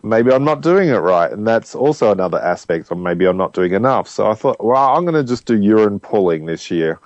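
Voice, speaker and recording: A man with a fairly deep voice talks as an interview guest, explaining things conversationally with emphatic personal anecdotes. The sound is slightly dull and lo-fi, with little room around it. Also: expressive, fairly quick, no echo. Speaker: interview guest, alternative health advocate.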